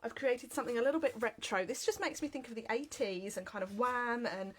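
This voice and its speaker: A woman's voice: a little high, a little fast. A woman in her thirties with a heavy cold, leading a live card-making class from her home craft room. She talks in a chatty, upbeat way with plenty of "um"s. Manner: chatty and upbeat, frequent "um" fillers. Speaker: craft demonstrator. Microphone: webcam at desk, then overhead camera.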